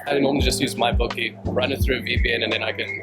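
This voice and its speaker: A man's voice: mid-range voice, fairly even intonation, racing, loud music behind the voice. An eighteen-year-old man answering a street interview in a matter-of-fact way.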